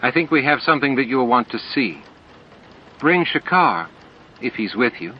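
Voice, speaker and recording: A man voicing a brisk, faintly amused female space officer calling in, in a science fiction audiobook. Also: medium pitch, very animated, lo-fi sound, a little hiss or hum, lightly acted.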